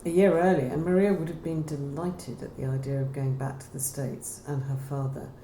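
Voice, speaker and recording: A woman's voice: sits low, fairly even intonation, normal speed, warm tone. A woman reading scripted narration from a novel, telling the story aloud. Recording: roomy echo, faint background noise.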